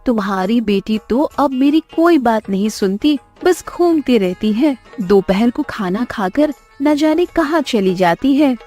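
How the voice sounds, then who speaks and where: Woman playing naggy strict village mom, cartoon, kinda high voice, faint music. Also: animated, measured pace, hardly any pauses, warm tone. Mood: scolding. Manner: scolding. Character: nagging, strict village mother, quick to scold. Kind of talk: Hindi cartoon story dialogue. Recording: music under the voice.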